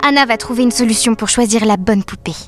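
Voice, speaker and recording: A woman's voice: a little high, quick pace, soft background music, lightly acted. A woman playing a watchful, hopeful toy doll who narrates an audio drama in an earnest, poetic way. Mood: urgent hope. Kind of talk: literary first-person audio drama narration.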